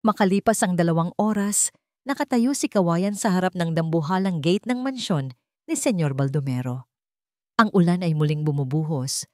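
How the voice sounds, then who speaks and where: A woman narrates a dramatic romance story in a fairly low voice. The recording is dry and close, with little room around her scripted storytelling. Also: darkish tone, no echo.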